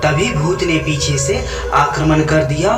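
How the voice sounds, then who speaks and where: A man's voice: on the high side, measured pace. A man reading scripted narration for a children's story. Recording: echoey, distant, music behind.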